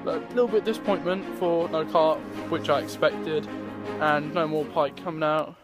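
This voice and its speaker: Young guy, fishing vlogger, rambling outdoor chat at arm's length, voice a touch high, music bed. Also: steady tone, normal speed. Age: young adult. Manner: chatty, rambling, addresses viewers as guys. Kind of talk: casual outdoor vlog. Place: riverbank outdoors in winter. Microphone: handheld camera at arm's length.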